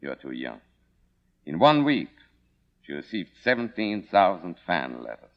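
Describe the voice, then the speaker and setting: An actor playing a solemn, devoted manservant, formal and deferential, in an old radio drama. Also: male voice, low voice, very animated, measured pace, full character voice. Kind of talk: 1940s-50s radio drama acting. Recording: muffled old radio broadcast.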